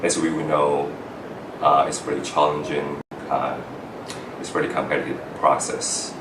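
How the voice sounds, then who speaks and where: A man's voice: rumbling low, little pitch movement, reverberant, far from the mic, background noise. A middle-aged man from Taiwan, a foreign-trained doctor, giving an earnest account of his path to residency, with many "uh" fillers and restarts. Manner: halting, frequent "uh" fillers and restarts. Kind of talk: earnest personal account to camera.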